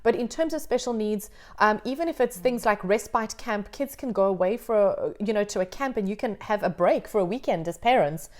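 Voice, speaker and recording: A woman's voice: mid-range voice, expressive, brisk, few pauses, a little mellow. Upbeat, encouraging woman host. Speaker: host, yoga teacher. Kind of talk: conversational interview.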